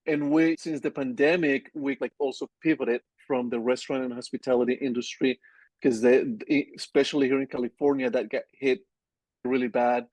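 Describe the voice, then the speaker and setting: A man in his forties from Colombia with a Colombian Spanish accent, a business founder talking casually in an interview with a relaxed, rambling manner and many restarts. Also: mid-range voice, normal speed, no echo, low-quality recording. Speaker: agency founder guest.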